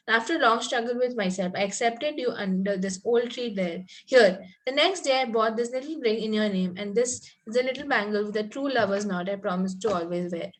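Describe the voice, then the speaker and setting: A woman's voice: a little high, natural voice, rough recording. A woman reading the part of a fanciful, coy Victorian young lady in an amateur table read, prim and earnest, with occasional stumbles.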